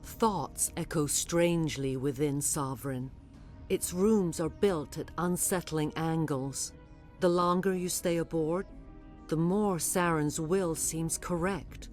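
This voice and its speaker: A woman playing a grave alien matriarch, a mind-controlled servant, speaking formally and with measured drama in a video game. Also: deep voice, a little mellow, soft background music. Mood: solemn, haunted.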